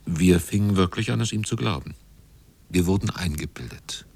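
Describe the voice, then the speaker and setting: A man narrating a literary radio drama as a measured storyteller. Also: deep voice, gravelly, a little mellow, slightly rough.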